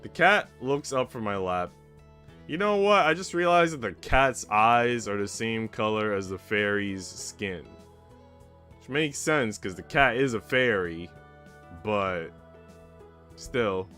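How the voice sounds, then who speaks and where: A man's voice: lowish voice, expressive, slow pace, pushed and bright. A man streaming a visual novel, mixing slangy, casual reactions with reading the narration aloud. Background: game music.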